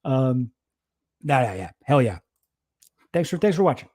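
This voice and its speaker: Mellow-voiced man rambling on stream. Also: mid-range voice, big pitch swings, a little slow. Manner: rambling, self-deprecating, laughs often. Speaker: streamer reacting to a debate. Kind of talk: casual livestream commentary.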